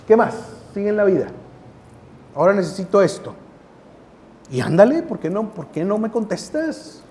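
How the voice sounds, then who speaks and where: A man's voice: sits high, a little mellow, slight background noise. A man preaching a sermon in a conversational way, repeating phrases for emphasis. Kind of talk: sermon with scripture reading.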